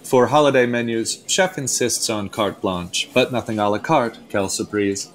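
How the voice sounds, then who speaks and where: An actor playing a pretentious, fussy steakhouse waiter in a comic radio play, with a florid, easily flustered way of talking. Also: male voice, medium pitch, normal speed, lightly acted. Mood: fussy, insistent. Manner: pompous, florid, stammering. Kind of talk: radio play comedy acting.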